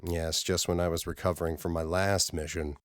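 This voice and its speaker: Sci-fi audiobook lead: male mission commander read by a man, low-pitched, steady, dry, unhurried authority. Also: no echo, close to an everyday voice.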